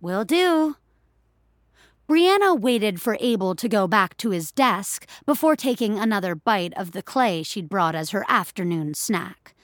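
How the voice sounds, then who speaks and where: Expressive woman narrating audio fiction. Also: medium pitch, normal speed, few pauses, no room sound, clean studio sound.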